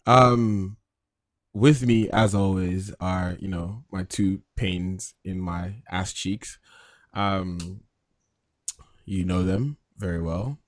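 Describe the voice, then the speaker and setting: A man's voice: low-pitched, fairly even intonation, slow pace, dry recording. A young man hosting a casual podcast rant show, hyping the audience and joking with his co-hosts. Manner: hype opener, then loose joking chat with laughs. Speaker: host.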